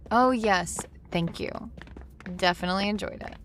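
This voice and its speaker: Woman acting a polite, mid-pitched young visitor. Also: normal speed, fairly bright tone, slight background noise, lightly acted. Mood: polite, curious. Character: polite, curious female visitor to a strange city. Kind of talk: audio drama acting.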